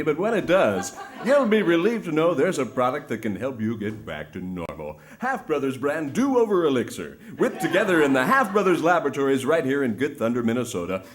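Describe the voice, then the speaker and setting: An actor playing an earnest, folksy old-time radio pitchman, with a theatrical salesman's manner in a parody sponsor ad. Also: natural voice. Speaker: old-time variety show performer reading sponsor ads. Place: live on a theatre stage.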